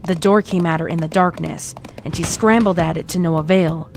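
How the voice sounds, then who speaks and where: A woman's voice: fairly low pitch, steady tone, fairly quick, a little mellow, slight background noise. A woman reading a horror story as its narrator, in a dramatic scripted style. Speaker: fan fiction narrator. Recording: music behind the reading.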